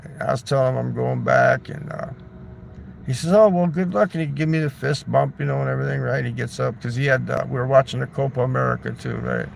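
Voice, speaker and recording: A man's voice: medium pitch, brisk, a little hiss or hum. A man telling a long casual story, loose and chatty, swearing freely and tossing in "right?" and "man". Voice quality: gravelly. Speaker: livestreamer.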